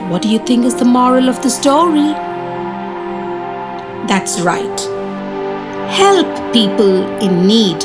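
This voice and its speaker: Woman, children's storyteller, high voice, slow pace, background music. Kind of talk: scripted children's story narration.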